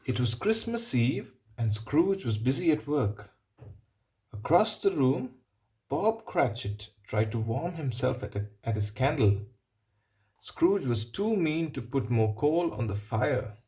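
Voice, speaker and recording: A man reading a picture book aloud, pausing for simple explanatory asides and checking in with the listener. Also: mid-range voice, very animated, dark tone. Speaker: storybook reader.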